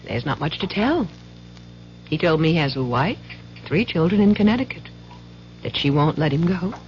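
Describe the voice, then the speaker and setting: Quiet resigned girlfriend, 70s radio play, muffled w faint hum. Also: sits low, sing-song, moderate pace. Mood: subdued, resigned. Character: subdued young woman confessing a failed affair. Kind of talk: 1970s radio drama acting.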